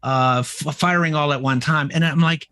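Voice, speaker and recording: A middle-aged man co-hosting a podcast, chatting casually in a boisterous, loud way and telling stories with quoted asides. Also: a little high, fairly even intonation, normal speed. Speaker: podcast co-host. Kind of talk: casual chat.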